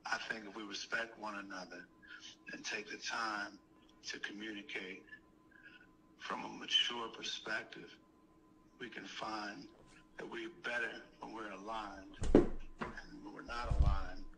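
A middle-aged man speaking over a phone call in a measured way, choosing his phrases carefully. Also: lowish voice, flat delivery, gravelly. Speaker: music manager. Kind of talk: phone conversation. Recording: noisy phone call, ambient background.

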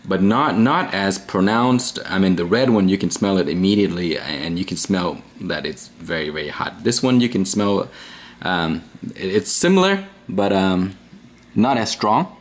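Low-voiced man chatting casually at home. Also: expressive, measured pace. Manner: easygoing, rambling with many fillers and restarts. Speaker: pepper reviewer, vlog host. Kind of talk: casual chatty vlog review. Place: small indoor room at a table.

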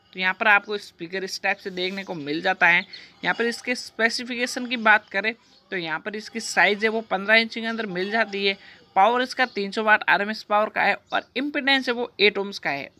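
A bright, forceful voice narrates a product explainer. The delivery is scripted and formulaic, moving through details as a repeated list in the same pattern each time. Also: medium pitch. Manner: repetitive, formulaic listing.

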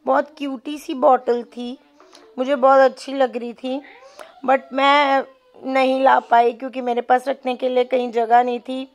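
A woman's voice: sits high, little pitch movement, unhurried. A woman narrates a shopping vlog. She talks in a casual, chatty way and drops English words in freely. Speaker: shopping vlogger.

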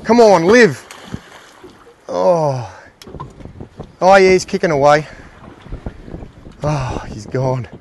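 A middle-aged man hosting a fishing show, giving excited, exclaiming commentary from a small boat. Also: on the high side, drawn-out words, long pauses, a little hiss or hum. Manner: enthusiastic, exclaiming, talks to the fish and his mate.

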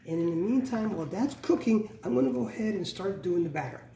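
A middle-aged man walks viewers through a home recipe in a relaxed, instructive tone. He often corrects himself as he goes. He is recorded by a camera across a bright home kitchen, so the sound is roomy, distant and carries background noise. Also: sits high, quick pace, creaky. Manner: relaxed, instructive, self-correcting, repeats "go ahead and". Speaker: home cooking show host. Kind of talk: casual step-by-step cooking demonstration. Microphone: camera mic from across the kitchen.

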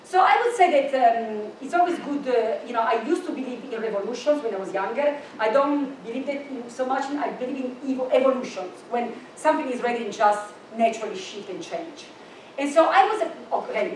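A woman's voice: on the high side, animated, a little fast, darkish tone, slight background noise. A middle-aged woman giving a conference keynote lecture, thinking aloud with restarts, recorded from the audience in a large university room. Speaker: keynote speaker. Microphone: far camera in the audience. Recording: echoey room from far off.

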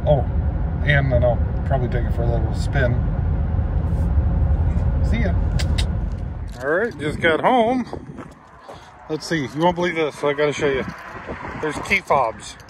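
A man's voice: fairly high pitch, animated, unhurried, long pauses. A middle-aged man chatting casually and plainly to camera, in an offhand way. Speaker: vlogger. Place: parked or moving pickup cab, later outdoors on gravel drive. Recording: clear background noise, vehicle and outdoors.

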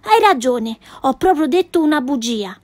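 Woman playing a lively little girl, bragging, high and bright, exclaiming, storybook heroine. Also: normal speed, no room sound. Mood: boastful. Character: cheerful boastful little girl with wild tales.

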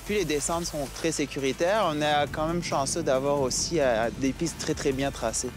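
A man with a Québécois accent explains ski gear with enthusiasm in a fairly high voice. He speaks outdoors in a snowy park with soft music underneath. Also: moderate pace. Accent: Québécois French. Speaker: cross-country ski expert. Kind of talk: instructional explanation.

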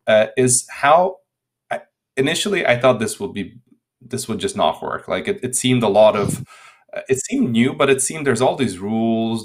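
A man in his thirties from Hungary with a Hungarian accent, answering interview questions over a video call, often repeating words as he restarts sentences. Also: medium pitch. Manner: frequent word repetitions and restarts. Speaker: guest speaker. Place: home room. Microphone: laptop webcam video call.

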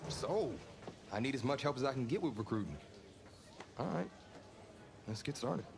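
An actor playing an easygoing, earnest young high school quarterback in a video game cutscene. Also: male voice, animated, normal speed, vocal fry, background noise. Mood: casual, modest. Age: young adult. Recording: music behind the voice.

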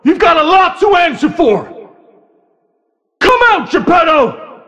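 A menacing masked hunter from a dark video game, bent on revenge. His voice is raspy and pitched high, swinging wildly as he threatens and mocks. The words come slowly and theatrically. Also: very animated, heavily acted. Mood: furious. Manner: shouting, taunting, threatening. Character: menacing, vengeful masked male hunter, raging and mocking. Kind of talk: dramatic video game voice acting.

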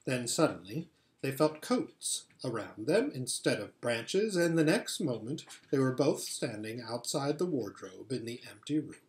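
A middle-aged man reading a children's book aloud in expressive narration. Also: mid-range voice, unhurried. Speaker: story reader. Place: furnished living room at home, indoors. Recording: echoey home room.

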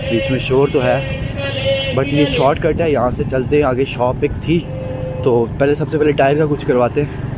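A young man from Pakistan, chatting casually to viewers as he rides, recorded on a bike-mounted action camera outdoors. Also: medium pitch. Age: young adult. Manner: chatty, talks to viewers while riding. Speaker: vlogger. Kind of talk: casual motovlog chat. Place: outdoors on city streets. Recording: street and traffic noise behind. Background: traffic noise.

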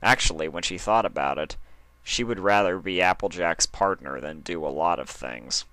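Deep, crisp male story narrator. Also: moderate pace, sharp tone, dry recording. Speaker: fan fiction narrator.

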